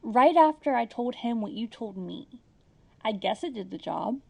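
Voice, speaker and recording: An actress plays a long-suffering housewife in a comic audio play. She is anxious and deferential, with a fairly high, dark-toned voice full of creak. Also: animated, unhurried, heavily acted. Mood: uneasy relief. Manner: fretful, meek. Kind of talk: comedic audio drama acting.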